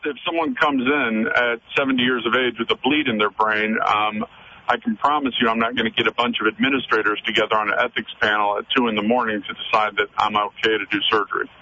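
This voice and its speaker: A man's voice: lowish voice, fairly even intonation, quick pace, pushed and bright. A man calling in to a radio show from his car over a phone line, talking earnestly with frequent hesitations and fillers. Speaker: caller. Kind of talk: call-in radio conversation. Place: in a car, driving. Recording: muffled phone call with faint road noise.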